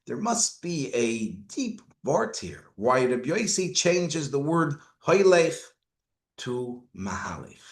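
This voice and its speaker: A man's voice: medium pitch, sing-song, slow, roomy sound. A man giving a Torah lecture as a rabbi, asking rhetorical questions and mixing Hebrew and Yiddish terms into his English. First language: not Hebrew. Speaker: rabbi teaching.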